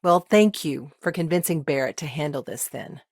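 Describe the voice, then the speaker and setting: A principled, lower-voiced colony lawyer. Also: female voice, animated, normal speed, no room sound, studio quality. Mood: warm, amused. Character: principled, earnest small-town lawyer woman in a sci-fi mining colony. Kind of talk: video game character dialogue.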